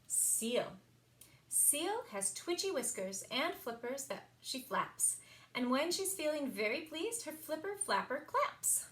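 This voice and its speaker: A woman reading picture books aloud for a children's story time, expressive and sing-song, with emphasized words. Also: sits high, takes it slowly. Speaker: library story time reader.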